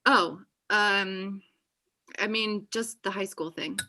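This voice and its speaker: A woman playing a chatty, high-energy friend in a comedy table read on a video call, with an animated, gushing manner. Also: slow. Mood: apologetic. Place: small indoor room on a video call. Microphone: computer mic on video call.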